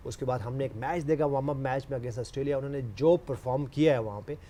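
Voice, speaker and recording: Very fast, mellow Pakistani man. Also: mid-range voice. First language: Urdu. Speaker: cricket panel pundit. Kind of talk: informal sports analysis.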